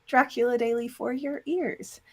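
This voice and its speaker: A woman's voice: on the high side, fairly even intonation, measured pace. A woman chatting casually as an interview guest, talking in a self-deprecating way. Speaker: interview guest, audio drama producer and voice actor.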